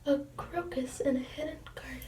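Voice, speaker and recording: A willful little boy bunny from a picture book, as a woman whispers him while reading aloud. The whisper is high and level. It sounds far from the mic. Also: fairly even intonation, moderate pace, natural voice. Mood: defiant. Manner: soft whisper. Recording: distant mic, clear noise.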